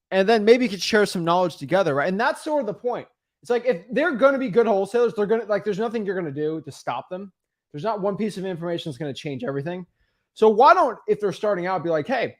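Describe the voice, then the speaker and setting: Young man, pakistani accent, fairly high voice, punchy real-estate coach talk. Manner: fast, animated, repeats "right?" and "guys". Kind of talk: casual podcast chat.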